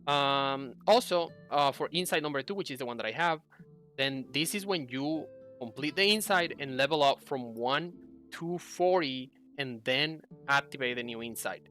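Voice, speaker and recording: Young male gaming host, bright forward voice, casual explanatory delivery with some hesitation, music behind. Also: mid-range voice, normal speed. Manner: hesitant, explanatory, self-correcting. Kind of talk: casual game guide commentary. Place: indoor room at a computer.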